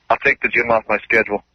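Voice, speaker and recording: A man's voice: medium pitch, husky, dry recording, lo-fi sound. A man giving brief, hesitant, placating replies in a live radio conversation. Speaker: radio station staffer on the air.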